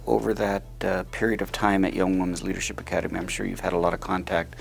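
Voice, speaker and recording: A man's voice: deep voice, level tone, fast, creaky. A man interviewing a former student, asking long questions with many hesitant fillers.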